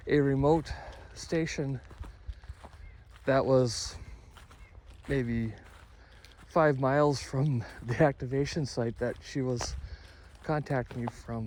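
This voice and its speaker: A man talking casually to his vlog audience in a candid, halting way, often correcting himself as he rambles through updates. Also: medium pitch, a little mellow, a little hiss or hum. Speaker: amateur radio hobby vlogger.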